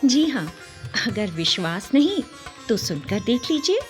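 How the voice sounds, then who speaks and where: Slow, expressive woman storyteller. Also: on the high side, faint music behind. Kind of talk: scripted storybook narration.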